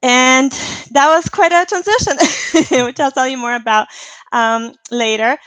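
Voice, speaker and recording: A woman in her thirties from Lithuania, a native Lithuanian speaker, chatting as a guest on a video call, upbeat and quick to laugh. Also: high voice, fairly bright tone, no room sound, low-quality recording. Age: 30s. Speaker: guest, fitness coach. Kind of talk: casual chat on a video call.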